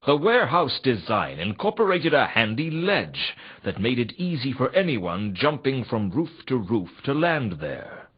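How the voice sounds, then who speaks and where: A hardboiled private eye narrating his case in a video game. He is brooding and wisecracking, with a slightly husky edge and a very mobile, dramatic pitch under the deadpan wit. The audio is a little dull and lo-fi. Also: a little slow, hardly any pauses. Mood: dry, wry. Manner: deadpan, dry, brooding delivery. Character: world-weary, wisecracking male private investigator, hardboiled noir. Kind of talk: scripted noir detective narration for a video game.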